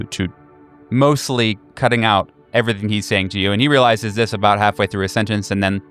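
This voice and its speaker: A man improvising horror roleplay narration as game master, halting and pausing deliberately. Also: fairly low pitch, fairly bright tone.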